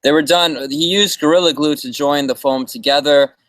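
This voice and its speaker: A man's voice: a little high, steady tone, normal speed, fairly bright tone, dry recording. A man co-hosting a casual livestream, laid-back and joking as he chats. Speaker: livestream co-host. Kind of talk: casual live chat.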